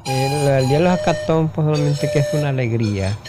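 Halting man over loud music. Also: fairly high pitch. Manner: halting, with fillers and restarts. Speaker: community interviewee. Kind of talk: interview testimony.

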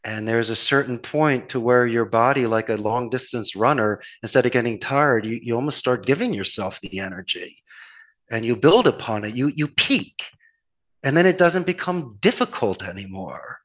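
A man's voice: mid-range voice, normal speed, muffled recording. A man with a Philadelphia accent giving friendly, rambling advice in a casual chat. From Philadelphia area, United States. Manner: animated, folksy, encouraging, rambling with restarts.

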